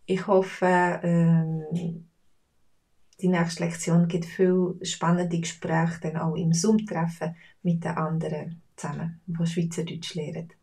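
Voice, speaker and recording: A woman's voice: lowish voice, slow, vocal fry. Swiss German woman, casual teacher, rambling with fillers, slightly distant mic. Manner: relaxed, chatty, with many fillers. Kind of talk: casual spoken lesson.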